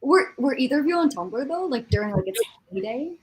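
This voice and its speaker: A woman's voice: high-pitched, animated, fairly quick, poor audio. A young adult woman from a French-Canadian town chatting casually, with an informal, exclamatory, sweary way of talking. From small French-Canadian town. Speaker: participant in a live reading stream. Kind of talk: casual group chat.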